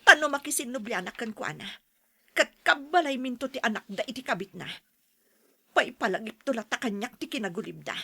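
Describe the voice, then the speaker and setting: A woman's voice: on the high side, expressive, fairly quick, gravelly, bright, forceful tone, husky, dry recording, full character voice. A woman playing a betrayed, anguished wife with a fierce temper in a radio-style melodrama, emotional and confrontational. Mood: hurt, bitter.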